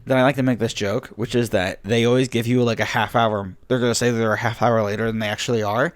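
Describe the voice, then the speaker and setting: A man's voice: medium pitch, fairly even intonation, fast talker. A young man hosting a podcast, losing his voice, chatting casually with lots of laughs and fillers. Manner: rambling, laughs often, many fillers. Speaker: podcast host. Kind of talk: casual chat.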